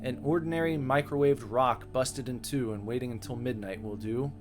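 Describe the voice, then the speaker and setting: A man's voice: mid-range voice, moderate pace, natural voice. A man voicing a composed, matter-of-fact young woman who is caring and determined, in a dramatic visual novel reading. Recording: music behind the voice.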